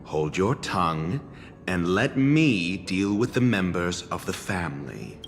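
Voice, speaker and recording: A man's voice: low-pitched, sing-song, takes it slowly, heavily acted. An actor playing a cold, haughty male scholar in a video game, speaking in a formal, condescending way. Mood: haughty, cool. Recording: long reverb, music behind. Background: game music.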